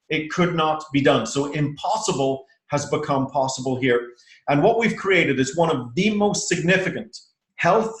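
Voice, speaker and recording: Upbeat Irish man pitching on an echoey call. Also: fairly bright tone. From Ireland. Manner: upbeat and persuasive, with frequent "uh" and "okay?". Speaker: presenter on a sales call. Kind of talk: rehearsed sales presentation.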